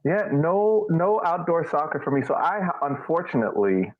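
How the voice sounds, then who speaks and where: A man's voice: fairly high pitch, sing-song, moderate pace, no echo. A man chatting casually as a podcast panelist in a wry, sarcastic way, through a narrow radio-like connection. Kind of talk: casual chat. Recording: narrow phone-like, radio-like sound.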